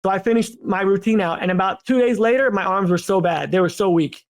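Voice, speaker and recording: A man with a notably high voice hosts a podcast in loose, casual conversation. He rambles and repeats himself, and his stories come out in eager, stop-start bursts. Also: a little fast. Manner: animated, rambling, repeats words. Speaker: podcast host. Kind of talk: casual chat.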